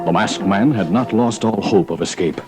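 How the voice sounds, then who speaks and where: Theatrical American announcer over loud music. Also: male voice, on the low side, moderate pace. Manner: formal, theatrical, emphatic. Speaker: announcer and narrator. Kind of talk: dramatic 1940s-50s radio narration. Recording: old radio recording with loud music.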